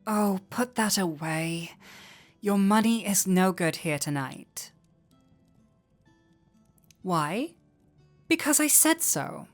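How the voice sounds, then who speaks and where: A woman playing a flirty, warm-hearted tavern innkeeper in an intimate roleplay audio drama, teasing coyly and playfully. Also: mid-range voice, lively intonation, soft background music. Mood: playful, insistent. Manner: coy, playful, unhurried teasing.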